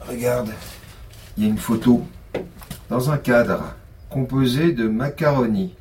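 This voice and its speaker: An actor playing an exasperated, sarcastic police investigator in a naturalistic audio drama. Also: male voice, mid-range voice, unhurried, darkish tone, close to an everyday voice. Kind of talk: naturalistic French audio fiction acting. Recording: distant from mic, faint noise.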